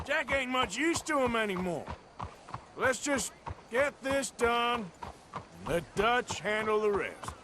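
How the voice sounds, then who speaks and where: A man playing a steady, dry-witted Wild West outlaw in a video game, measured and drawling, with an American accent. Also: high-pitched, noisy background. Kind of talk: video game cutscene acting.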